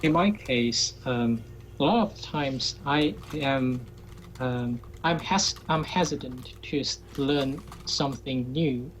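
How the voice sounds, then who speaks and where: A man's voice: mid-range voice, slow, a little hiss or hum, low-quality recording. A man from China, a Chinese native speaker, talking hesitantly in a casual call with many fillers and restarts. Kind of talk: casual chat.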